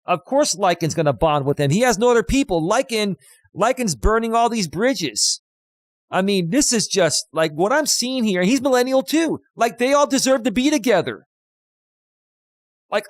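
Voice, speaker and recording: A man of fifty, a life coach, ranting loudly and emphatically on a live stream while reading out chat, close on a studio dynamic mic. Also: high voice, animated, moderate pace, dry recording. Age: 50s.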